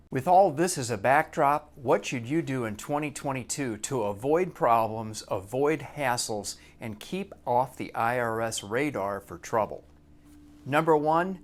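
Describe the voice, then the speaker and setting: An American man presenting practical advice as a professional, speaking in emphatic, punchy short phrases in a semi-scripted explanatory style. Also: mid-range voice. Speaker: tax professional and video presenter. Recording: clearly audible background noise and hum.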